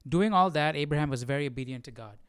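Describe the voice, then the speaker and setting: A man's voice: a little high, lively intonation, fast talker, clean tone, no room sound, studio quality. A man hosting a live Bible study, informal and curious, thinking aloud as he chats and reads. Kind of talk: casual livestream chat and reading aloud.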